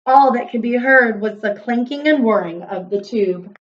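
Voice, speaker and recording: Woman narrator, children's read-aloud, expressive storytelling, fairly high pitch, slightly muffled lo-fi recording. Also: moderate pace.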